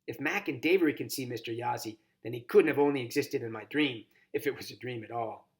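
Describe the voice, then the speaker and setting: A man reading a children's novel aloud as a volunteer, narrating in an expressive, dramatized first-person style. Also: medium pitch, a little fast. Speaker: volunteer storybook reader.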